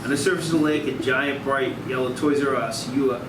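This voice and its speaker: A man narrates a screenplay's stage directions. He has a medium-pitched voice, recorded away from the mic. The room is very noisy. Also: fairly even intonation, brisk. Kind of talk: scripted narration at a table read. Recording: distant, echoey, very noisy room.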